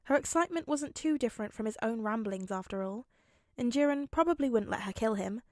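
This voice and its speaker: A woman narrating fan fiction as a podfic reader, in an expressive storytelling style. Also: fairly high pitch, a little fast, dry recording, studio quality.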